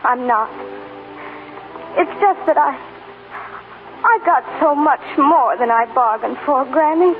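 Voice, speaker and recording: An actress playing a tender, self-sacrificing young film star wife in a 1940s radio melodrama, earnest and heartfelt. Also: female voice, sits high, slow, pauses often, faint music behind. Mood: grieving, weary. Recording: noisy, tinny 1940s radio broadcast.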